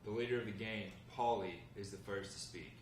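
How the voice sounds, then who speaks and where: A man's voice: lowish voice, fairly quick. A man narrating stage directions from a script at a table read. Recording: roomy, noisy, distant mic.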